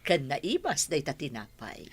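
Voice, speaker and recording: A mature shop-owning mother in a TV drama, with a low voice and Ilocano accent. She takes her time over each phrase while her pitch moves expressively. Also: huge pitch swings, measured pace, slightly rough, exaggerated voice. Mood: cheerful, chatty. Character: firm, exasperated mother who owns a furniture shop. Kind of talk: Ilocano television drama acting.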